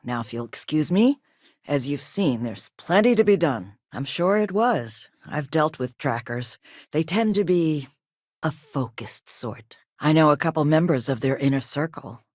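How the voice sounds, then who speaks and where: A woman acting as a poised, quietly assertive company chief executive in a video game, polished, measured and courteous but firm. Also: sits low, lively intonation, dry recording, clean studio sound. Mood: composed, businesslike.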